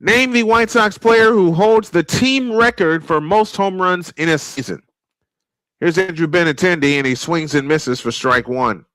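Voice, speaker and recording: A man's voice: on the high side, a little slow, a bit bright, no echo. Dry-sounding male baseball play-by-play announcer. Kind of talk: live sports commentary.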